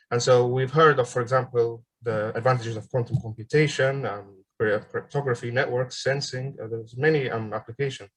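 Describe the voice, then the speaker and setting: Young man from egypt, graduate seminar talk, lots of ums, mid pitch, video call mic. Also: poor audio. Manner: hesitant, frequent ums and uhs. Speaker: graduate student presenter. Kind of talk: online seminar presentation.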